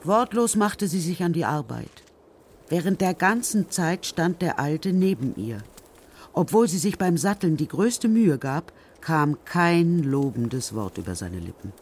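A man's voice: a little high, very animated, a little slow, breathy edge. A man reading scripted narration for a children's radio play.

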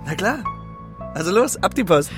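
A cocky, streetwise little bird played by an actor in a children's radio play, brash and greedy. The talk is slangy and full of exclamations, at a middling pitch, with loud music underneath. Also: very animated, normal speed, natural voice. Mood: brash, eager.

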